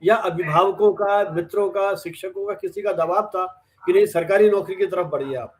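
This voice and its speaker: An older man speaks. He interviews in a reminiscing, conversational way, building his questions deliberately from short clauses. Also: high-pitched. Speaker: interviewer, host.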